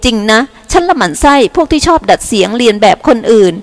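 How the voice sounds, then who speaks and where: A woman's voice: a little high, sing-song, fast, big-room sound, voice pushed up. A woman doing a teasing young showgirl. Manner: teasing, outspoken. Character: bold, teasing young female show dancer.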